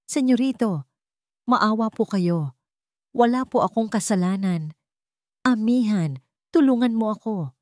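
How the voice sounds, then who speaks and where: A woman's voice: medium pitch, animated, a little slow, long pauses, warm tone, no room sound, studio quality, pitched above the performer's own voice, lightly acted. A woman voicing a frightened, deferential housemaid who pleads her innocence in a dramatic audio story. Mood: desperate. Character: frightened, pleading young housemaid wrongly accused.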